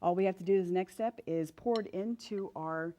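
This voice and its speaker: A woman's voice: lowish voice, brisk, warm tone. A woman from Louisiana, a guest chef on a TV kitchen set, cheerfully explaining each step as she cooks. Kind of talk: cooking demonstration.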